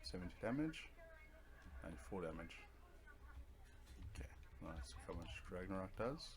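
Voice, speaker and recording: A man's voice: low voice, animated, normal speed. A man giving casual let's play commentary on a video game, explaining tactics step by step in an offhand way.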